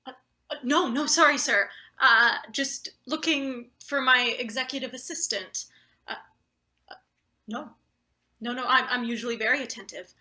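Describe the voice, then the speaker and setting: A woman playing a flustered, deferential new boss in a live play reading, halting and anxious as they fawn over a superior. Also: fairly high pitch, bright, forceful tone. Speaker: actor. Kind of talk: live table read of satirical play.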